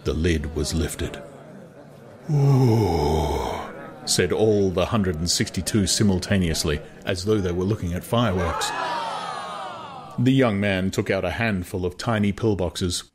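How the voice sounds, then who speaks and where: A man's voice: low voice, normal speed, crowd noise. A man reading a novel aloud as narrator, in dramatized, scripted literary storytelling. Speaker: audiobook narrator.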